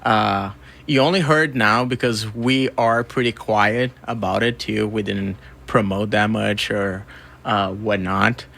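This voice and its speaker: A man's voice: on the low side, steady tone, slow, vocal fry, a bit bright. A man from Brazil speaking casually as a guest in an interview. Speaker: interview guest, record label co-founder and music photographer.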